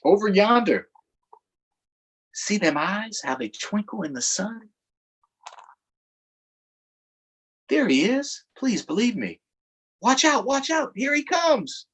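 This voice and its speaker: A man voicing an excitable, folksy swamp boat guide in a storybook, wary of a gator and speaking with exaggerated delivery. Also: sits high, a little slow, breathy edge, pitched above the performer's own voice, exaggerated voice. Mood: urgent.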